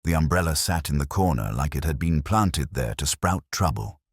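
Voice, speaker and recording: Very deep hard-boiled male narrator. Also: expressive, moderate pace, no room sound, clean studio sound. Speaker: audiobook narrator. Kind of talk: scripted first-person noir detective narration.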